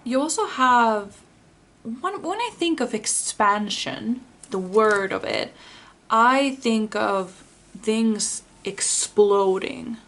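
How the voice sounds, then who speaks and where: Finnish woman, non-native English, tarot reader; unhurried, earnest, stops to rephrase. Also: on the high side, expressive, a bit bright. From Finland. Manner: slow, searching, rephrases often. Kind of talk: pick-a-card tarot reading.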